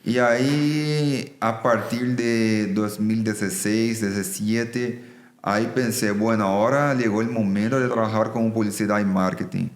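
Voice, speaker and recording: Low-voiced Brazilian man in a roomy space. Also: steady tone, a little slow. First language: Portuguese. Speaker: business executive, podcast guest. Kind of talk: conversational interview. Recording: roomy echo.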